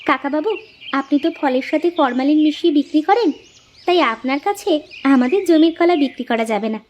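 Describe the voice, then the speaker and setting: A woman's voice: high-pitched, lively intonation, a little fast, darkish tone, lo-fi sound. A woman voicing a blunt, practical farmer's wife in a Bengali cartoon folk tale. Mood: curt, scolding. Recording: noisy ambience behind the voice.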